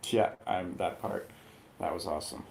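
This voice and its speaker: A man's voice: sits low, normal speed, darkish tone. A man on a movie review panel chatting casually and riffing jokingly on pop culture. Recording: clear background noise.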